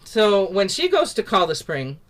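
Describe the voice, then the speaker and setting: A woman teaching an online singing workshop from home over a video call, chatty and upbeat with lots of "like" and "um", and a naturally loud voice. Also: mid-range voice, sing-song, unhurried. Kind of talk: casual online singing lesson. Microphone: computer mic on a video call.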